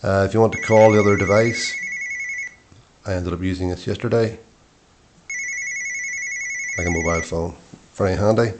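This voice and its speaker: A middle-aged man giving an informal product review in short, matter-of-fact phrases with hesitant pauses. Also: deep voice, fairly even intonation, heavy background noise.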